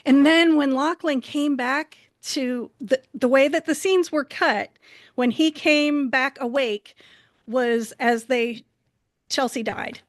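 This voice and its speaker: A woman's voice: sits high, slow, no room sound, clean studio sound. A woman hosting a podcast in casual chat, explaining things in a hesitant, circling way. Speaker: podcast host.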